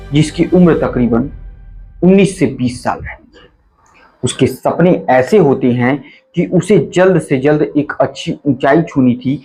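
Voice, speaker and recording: A man's voice: mid-range voice, a little mellow, rough recording. A man narrating a true crime story in an earnest, emphatic storytelling manner, speaking to listeners as friends.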